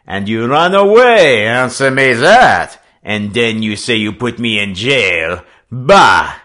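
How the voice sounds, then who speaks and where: A man voicing a bullying, sly French sloop captain in an audiobook, with a French accent, shrill voice and broken, taunting English. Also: wildly swooping pitch, takes it slowly, fairly bright tone, exaggerated voice. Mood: wrathful. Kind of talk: audiobook character acting.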